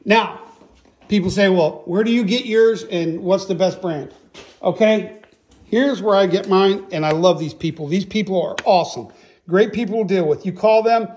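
Male, very high-pitched voice. Folksy, emphatic how-to host, excitable and casual, talking through a hands-on demo. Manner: folksy, emphatic, repeatedly checks with "okay?" and "all right?". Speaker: host, chainsaw sharpening instructor. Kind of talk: casual hands-on tutorial to camera. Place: indoor workshop.

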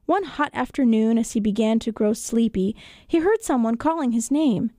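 A woman storyteller reading children's tales in an expressive audiobook narration style. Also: a little high, moderate pace, dry recording, clean studio sound. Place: radio studio.

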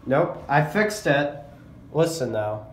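A man's voice: fairly high pitch, animated, slight background noise. A young man narrating his own handheld walkthrough of a mall elevator, admiring the fixtures and remarking to himself as he goes. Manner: enthusiastic, slow and remarks to himself. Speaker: elevator video channel host. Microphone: handheld phone camera. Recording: echoey elevator with faint ambience.

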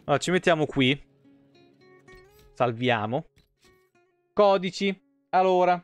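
A man's voice: a little high, lively intonation, slow, no echo. A man, live streaming casually in slangy, foul-mouthed talk and reading game lore aloud. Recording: music playing behind the voice. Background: soft tavern guitar music.

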